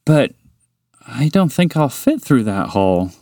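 A man voicing a big, gentle, slow-witted moose in a bedtime story, hesitant and bashful. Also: mid-range voice, sing-song, no echo, studio quality, voice pushed up. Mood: unsure. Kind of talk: storybook character voice.

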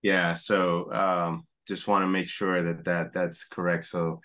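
A middle-aged man from Puerto Rico speaks in a very low voice. He tells his story in a relaxed, conversational way, often restarting his sentences as he goes. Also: slow. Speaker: guest, apparel company founder. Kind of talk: conversational interview.

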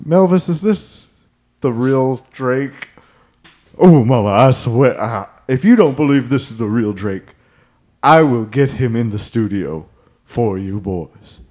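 A man's voice: medium pitch, lively intonation, takes it slowly, a little mellow. Melvis, a man doing a drawling Elvis impersonator. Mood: boastful. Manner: drawling, calls everyone mama, rambling with many fillers. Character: goofy male Elvis impersonator, forgetful, boastful. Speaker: podcast co-host in character. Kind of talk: improvised comedy podcast bit.

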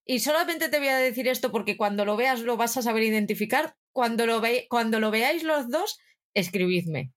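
A woman's voice: a little high, expressive, normal speed. A woman co-hosting a podcast, teasing and playful in casual chat. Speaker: podcast co-host. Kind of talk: casual chat among friends.

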